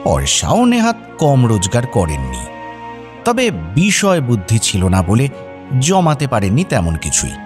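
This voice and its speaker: A man narrating a scripted radio story in a wry, expressive way. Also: medium pitch, a little slow, music in the background.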